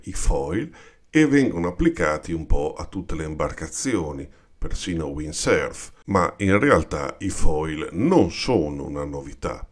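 A man with an Italian accent, explaining yacht design in an unhurried, informal voice-over over pictures. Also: sits low. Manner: unhurried and explanatory, addresses viewers with "vedete".

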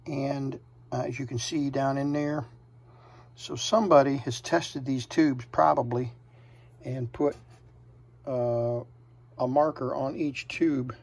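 A man's voice: mid-range voice, creaky, a little mellow, husky. A middle-aged American man hosting a casual hands-on repair demonstration, hesitant and thinking aloud as he works. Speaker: host of a vintage radio repair channel. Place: indoor workshop with electronics benches.

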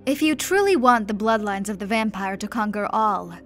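A woman voicing a defiant male vampire duke in chains, shouting scornfully at his captors in an audiobook. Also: fairly high pitch, normal speed, soft background music, lightly acted. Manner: loud, shouting, scornful laughter.

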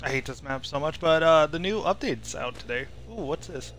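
Higher-pitched young man streaming a game. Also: lively intonation, moderate pace, over music. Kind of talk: casual gameplay commentary. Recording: noisy stream with game sounds.

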